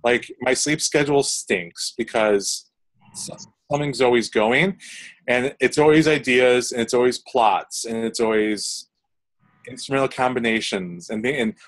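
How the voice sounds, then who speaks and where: A man's voice: medium pitch, a little slow, low-quality recording. Rambling young man with frequent restarts. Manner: rambling, many restarts and fillers. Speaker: composer interviewee. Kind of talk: casual interview answers.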